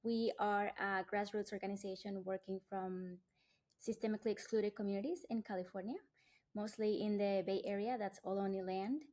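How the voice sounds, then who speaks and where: A woman's voice: mid-range voice, steady tone, normal speed. A woman, a Spanish speaker, introducing her grassroots group on a panel in a heartfelt way, often starting with "eh.". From Colombia. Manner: warm and heartfelt, with "eh" fillers.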